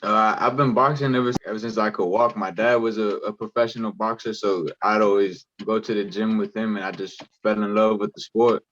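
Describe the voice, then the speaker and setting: A polite, laid-back young man speaks as a guest in an interview. His voice sits a little low and comes through dull and lo-fi. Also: steady tone, fairly quick, few pauses, a bit bright. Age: young adult. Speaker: boxer guest.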